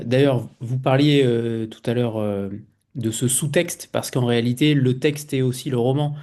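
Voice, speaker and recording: A man's voice: mid-range voice, warm tone. A man hosting a literary interview, asking long, multi-part questions and reading out a quote from the book.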